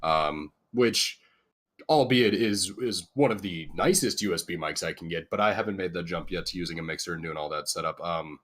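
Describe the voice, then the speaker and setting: A man's voice: low-pitched, moderate pace, fairly bright tone. A man, a guest streamer, chatting in a laid-back, self-deprecating way through a USB desk mic. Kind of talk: casual podcast chat.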